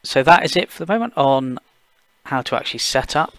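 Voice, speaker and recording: A man from the UK talking through a software screencast step by step, plain and explanatory, with occasional fillers. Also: medium pitch, lively intonation, a little fast, no room sound. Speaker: software engineer giving a tutorial. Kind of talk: screencast walkthrough voice-over.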